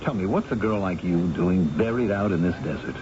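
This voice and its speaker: Persistent male reporter, radio drama; insistent, animated pitch, dull noisy vintage sound. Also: brisk. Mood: probing, insistent. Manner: insistent, questioning. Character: probing male investigative reporter, persistent, smooth-talking. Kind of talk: old-time radio drama acting.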